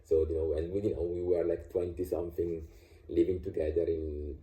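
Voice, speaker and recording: A man's voice: low voice, flat delivery, a little fast, mellow. A man, talking casually about his views with many fillers and restarts. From Italy. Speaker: vlogger sharing personal views. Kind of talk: casual unscripted monologue. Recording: echoey room.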